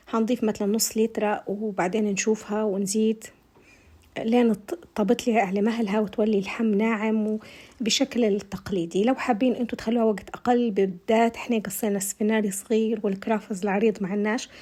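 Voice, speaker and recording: A woman's voice: medium pitch, warm tone. A woman with a Libyan Arabic accent and a tired voice, chatting viewers through a recipe step by step in a casual voice-over. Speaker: cooking vlogger.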